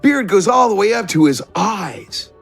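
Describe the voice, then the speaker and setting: A man's voice: sits high, animated, a little slow, creaky, fairly bright tone, breathy edge, soft background music. A man running a tabletop roleplaying game as game master, improvising descriptive narration phrase by phrase. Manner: descriptive, piecing scenes together phrase by phrase.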